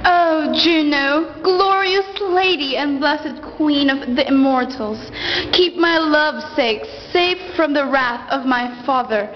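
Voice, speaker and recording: A woman's voice: sits high, takes it slowly, lo-fi sound, slight background noise. A woman playing a devoted, anguished young queen in a radio play, with imploring, melodramatic delivery and formal old-fashioned diction. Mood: prayerful. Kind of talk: amateur radio play acting of Greek myth. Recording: reverberant hall sound under music.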